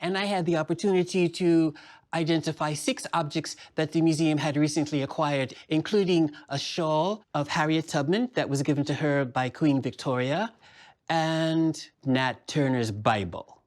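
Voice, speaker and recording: Genteel, high-pitched lady puppet docent played by a man. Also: normal speed, natural voice. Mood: poised, proud. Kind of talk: in-character puppet monologue.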